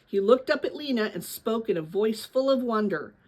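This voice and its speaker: Female read-aloud host, fairly high voice, expressive storybook narration with careful phrasing. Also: moderate pace, rough recording.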